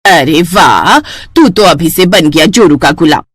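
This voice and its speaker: A woman's voice: deep voice, expressive, a little slow, no echo, studio quality. A woman voicing a nagging, sarcastic Indian mother-in-law in a cartoon story. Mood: disapproving. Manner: scolding, sarcastic. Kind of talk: Hindi cartoon moral story.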